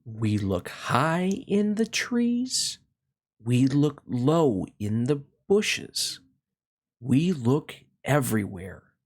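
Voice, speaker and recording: A man hosting a read-along, reading a children's picture book aloud in a slow, expressive way and then chatting about it. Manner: slow, expressive storytelling with swooping pitch.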